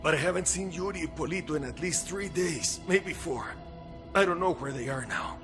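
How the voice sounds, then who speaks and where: An actor playing a weary, bitter freed rebel captive in a video game cutscene, halting and wry. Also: male voice, fairly high pitch, lightly acted. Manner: halting, wry, formal phrasing. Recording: game music behind.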